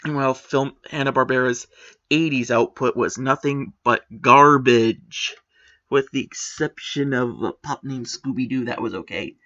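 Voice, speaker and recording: A man's voice: mid-range voice, unhurried, bright, forceful tone, dull audio. A man giving opinionated commentary on a written post, critical and matter-of-fact with sarcastic asides.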